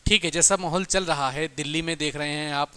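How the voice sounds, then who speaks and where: A man's voice: on the high side, steady tone, fairly quick, bright, forceful tone, smooth. A man giving an informal motivational exam-coaching talk in an emphatic, repetitive, exhorting manner. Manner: emphatic, repetitive, exhorting, addressing listeners as brothers and friends.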